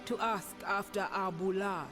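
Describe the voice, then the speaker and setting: Woman acting as slow, stern council interpreter. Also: mid-range voice, fairly even intonation, lightly acted. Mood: stern, resolved. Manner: formal, deliberate. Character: stern, dignified female council member and interpreter. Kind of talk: dramatic video game cutscene acting. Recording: music under the voice.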